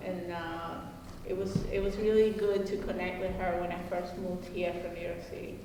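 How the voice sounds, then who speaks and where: A woman's voice: on the low side, level tone, moderate pace. A professor from Argentina, a woman, making informal remarks at an event. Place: large indoor hall at a community gathering. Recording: echoey hall, distant mic, background noise.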